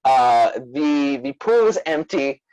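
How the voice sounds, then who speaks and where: A man's voice: on the high side, big pitch swings, slow pace, vocal fry, a little mellow, clean tone, dry recording, poor audio. Man, musician guest, from Queens, casual interview chat, dry video-call audio. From born in former Soviet Union, raised in Queens, New York.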